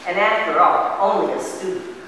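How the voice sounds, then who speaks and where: Man playing high-voiced haughty girl, echoey hall. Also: normal speed, sharp tone. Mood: indignant. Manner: snooty, dismissive. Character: haughty, materialistic young woman, professor's daughter. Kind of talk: amateur dramatic reading. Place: large church, distant camera mic. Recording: echoey, noisy hall from far off.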